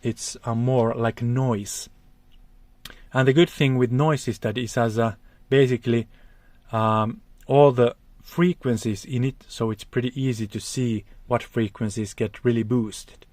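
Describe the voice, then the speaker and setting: A man's voice: mid-range voice, fairly even intonation, unhurried, a little mellow, no echo. A man with a Finnish accent teaching vocal technique, explaining acoustics in a careful, hesitant way. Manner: careful, hesitant, searching for words.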